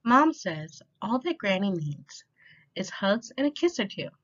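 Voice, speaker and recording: Female storytime reader, expressive rhyming picture-book delivery, animated intonation, slightly muffled low-fidelity recording. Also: unhurried. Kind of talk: children's picture book read-aloud. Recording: dull lo-fi sound.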